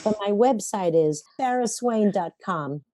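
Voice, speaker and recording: A woman's voice: medium pitch, animated, unhurried, warm tone. A woman playwright chatting in an interview, telling wry, self-mocking stories from her life. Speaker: playwright guest. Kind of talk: conversational interview.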